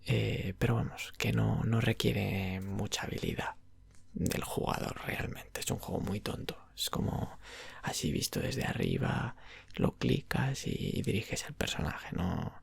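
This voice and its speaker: A man with an accent from Spain chatting softly and unhurriedly in ASMR style, breathy, creaky and half-whispered. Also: fairly low pitch, very animated, no echo. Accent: Spanish (Spain). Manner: unhurried, rambling. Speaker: ASMR roleplayer as video game shop clerk. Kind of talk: ASMR roleplay, soft casual chat about games.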